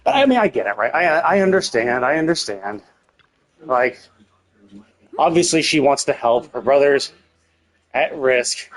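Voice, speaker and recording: A man's voice: mid-range voice, big pitch swings, a little slow, pauses often. A man livestreaming casual commentary on a game's story, thinking aloud in a rambling, opinionated way. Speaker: live streamer.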